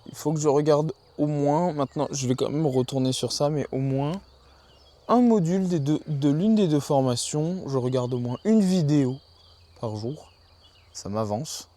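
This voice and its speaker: A man's voice: on the high side, moderate pace, dark tone. A man keeping a casual spoken diary outdoors in the countryside, thinking aloud and often restarting his sentences. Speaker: video journal keeper.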